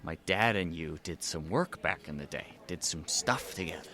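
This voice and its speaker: A man's voice: low-pitched, lively intonation, normal speed, sharp tone. An actor playing a down-on-his-luck, menacing convict's son in an audio drama, with a streetwise, casual, insinuating manner.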